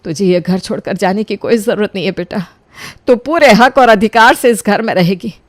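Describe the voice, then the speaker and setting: A woman's voice: mid-range voice, moderate pace, dry recording, clean studio sound, lightly acted. A woman playing a wronged elderly mother-in-law in a dramatic audio story, speaking solemnly and with emotional emphasis. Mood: resolute, regretful.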